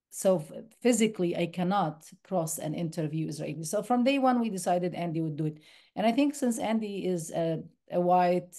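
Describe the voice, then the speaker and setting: A woman's voice: fairly low pitch, dark tone. A woman from the West Bank, a documentary filmmaker answering interview questions thoughtfully, often restarting her sentences. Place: university screening room.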